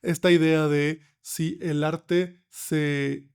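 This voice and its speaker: Higher-voiced Mexican man, creaky and slightly hoarse. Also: steady tone, drawn-out words. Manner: checks in with frequent tag questions. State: slightly hoarse from getting over a cold. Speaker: podcast guest, film researcher. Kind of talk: conversational explanation.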